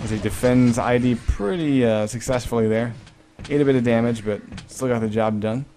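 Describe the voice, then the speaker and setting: A man's voice: mid-range voice, moderate pace, dark tone. Joking male esports caster over game noise. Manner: casual, joking, swears freely. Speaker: esports commentator. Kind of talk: live play-by-play game commentary.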